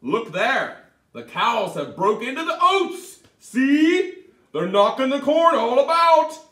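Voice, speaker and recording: A man's voice: sits high, very animated, takes it slowly, voice pushed up, heavily acted. A man voicing a small, sly old male leprechaun cobbler who talks sharply and scolds. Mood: scolding. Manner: sharp, scolding, whining when caught. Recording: echoey room, mic at a distance.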